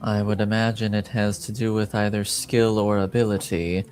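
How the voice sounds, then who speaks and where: A man voicing a reserved, formal colleague in a fantasy tabletop game, measured, terse and deadpan. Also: lowish voice, faint music behind, lightly acted. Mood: measured, probing.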